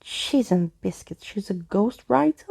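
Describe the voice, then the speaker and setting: A woman's voice: mid-range voice, lively intonation, a little slow, creaky, darkish tone, slightly rough, no room sound, exaggerated voice. A woman voicing a theatrical male skeleton adventurer in a pompous, wordy, emphatic storybook manner. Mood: amazed.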